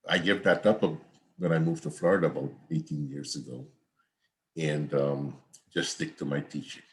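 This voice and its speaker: A man's voice: very deep voice, animated, normal speed, vocal fry. An older man from Guyana, an accounting professor giving a conversational, rambling online class lecture over a video call from home. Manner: conversational, repetitive, digressive.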